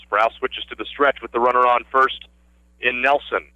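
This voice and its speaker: Bright-voiced man calling baseball play-by-play. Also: medium pitch, fairly even intonation, moderate pace, no room sound, muffled recording. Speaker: radio play-by-play announcer. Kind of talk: live baseball play-by-play commentary. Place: outdoor ballfield broadcast position.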